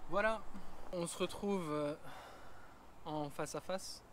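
A man's voice: on the high side, slow pace, fairly bright tone, slight background noise. A man in his thirties giving a casual vlog walkthrough, chatty with many fillers, filmed on an action camera. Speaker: travel vlogger. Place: roof tent on a car, then outdoors in bush.

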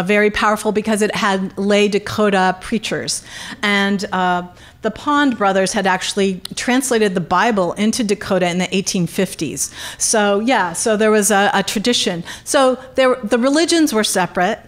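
A middle-aged woman giving a public history lecture at a podium microphone in a conference room, animated, with many fillers and restarts. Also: medium pitch. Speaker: guest lecturer. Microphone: podium microphone on stand.

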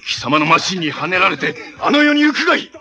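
A man's voice: high-pitched, very animated, fast, highs cut off, a crowd in the background. A man playing a haughty armored villain from a tokusatsu TV show, heir to an evil empire, making grandiose, commanding, theatrical declarations. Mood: gloating. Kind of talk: Japanese tokusatsu TV villain acting. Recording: clip from 1980s TV with music behind.

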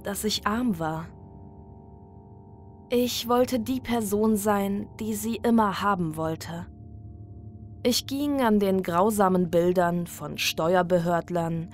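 A woman narrating a scripted horror story in a measured, dramatic delivery. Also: mid-range voice. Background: music.

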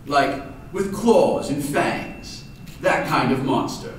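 A man voicing a jovial, teasing father in a children's story, with a mock-grave booming manner. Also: takes it slowly. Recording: distant, very echoey room, noisy.

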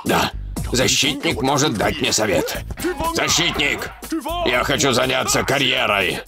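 A man's voice: medium pitch, expressive, measured pace, vocal fry. A man voicing a big, brash male tiger in a dubbed children's cartoon, boastful and grandly declaring. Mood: excited. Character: big brash male tiger dreaming of martial-arts glory. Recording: loud music behind the voice.

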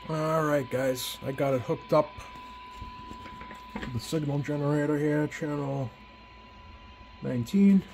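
A man giving a casual, unscripted hands-on demonstration to viewers, halting and thinking aloud as he works. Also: on the high side, creaky, music in the background, poor audio. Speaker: radio repair hobbyist, video host. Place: indoor home electronics workbench.

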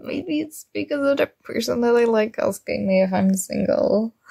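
A woman's voice: fairly high pitch, normal speed, gravelly, warm tone, husky, exaggerated voice. A woman playing a shy, nerdy young student flustered by her crush in an ASMR roleplay, speaking hesitantly and awkwardly. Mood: flustered, nervous.

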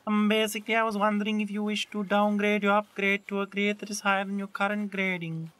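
A woman's voice: medium pitch, fast, close to an everyday voice. A woman playing an obtuse, persistent phone saleswoman on a prank call, repeating herself in muddled jargon. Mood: businesslike. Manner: muddled, repetitive, flat delivery. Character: obtuse, persistent female mobile network saleswoman.

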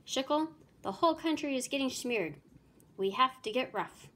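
A woman's voice: high voice, expressive, normal speed, a bit bright, pitched above the performer's own voice. Woman as a blustering male president. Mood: commanding.

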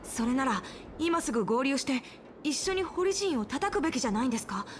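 A woman's voice: sits high, big pitch swings, brisk, a little hiss or hum. An actress voicing an earnest, eager young male subordinate in a video game. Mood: questioning, eager. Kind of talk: Japanese video game voice acting.

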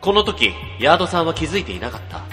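A man playing a sly, eager young office junior in a comedic radio drama, who also narrates in a hushed, dramatic tone. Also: fairly high pitch, very animated, very fast, big-room sound, soft background music. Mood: hushed narration.

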